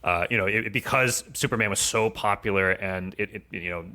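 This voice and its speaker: Man, podcast guest, bright crisp-edged voice, explanatory, conversational commentary, measured phrases. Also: lowish voice.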